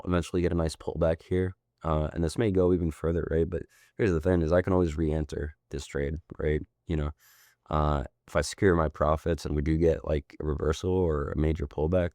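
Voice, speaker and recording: Very deep-voiced man, hoarse from illness. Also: warm tone, no echo, studio quality. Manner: loose, rambling, frequent tag questions. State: hoarse, sick and losing his voice. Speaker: trading mentor. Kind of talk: casual chart walkthrough.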